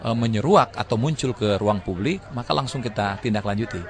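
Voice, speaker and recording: A man's voice: on the low side, fairly quick, a faint crowd. A man speaking earnestly and explaining as a guest on a panel.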